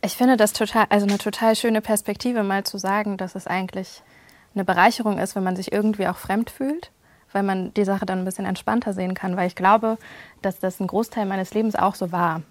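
A woman's voice: mid-range voice, fairly even intonation, brisk, no room sound. Casual young German woman, dry sound. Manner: casual, loose, frequent hedging fillers. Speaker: podcast guest, journalist. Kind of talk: conversational interview.

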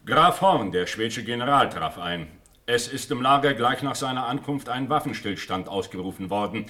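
An actor playing a respectful noble army officer in a classical radio drama, reporting formally in measured verse. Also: male voice, mid-range voice, lively intonation, bright, forceful tone. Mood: matter-of-fact.

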